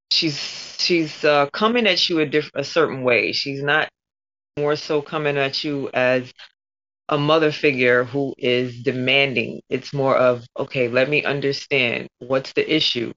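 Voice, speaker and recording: A woman's voice: sits low, a bit bright. A woman answering interview questions in a casual, thoughtful way, rephrasing as she goes. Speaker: interview guest, voice actor. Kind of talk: casual reflective interview talk. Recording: dull, lo-fi sound.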